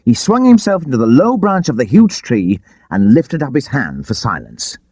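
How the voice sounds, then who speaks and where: A man narrating an adventure audiobook in an expressive, theatrical storytelling style. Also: medium pitch, normal speed, breathy edge, no room sound. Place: dry studio. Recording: dull, lo-fi tape audiobook.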